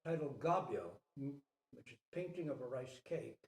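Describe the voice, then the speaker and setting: A man giving a literary, scripted Zen dharma talk as a teacher and storyteller, with emphatic phrasing and dramatic pauses. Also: mid-range voice, expressive, darkish tone. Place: indoor hall at a Zen center. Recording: roomy, echoing space.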